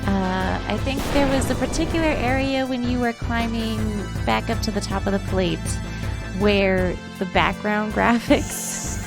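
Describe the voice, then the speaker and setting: A woman livestreaming a video game, chatting casually with viewers and reacting in an animated, giggly way. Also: fairly high pitch, measured pace, creaky. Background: video game music.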